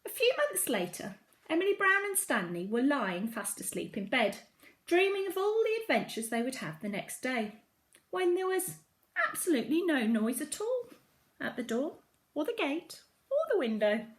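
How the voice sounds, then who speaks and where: Female primary-school storyteller: high voice, swooping intonation, expressive and emphatic children's read-aloud. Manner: dramatic, emphatic storytelling with drawn-out key phrases.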